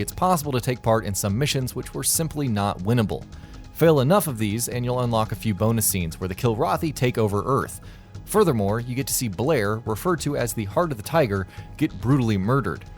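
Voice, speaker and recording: Male countdown narrator, animated and wry, mid-pitched, faint music underneath. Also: a little fast. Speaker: video narrator.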